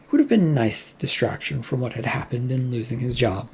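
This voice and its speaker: A narrator with a husky, creaky voice reading a scripted story aloud. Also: mid-range voice, animated, a little fast, a little mellow, a little hiss or hum.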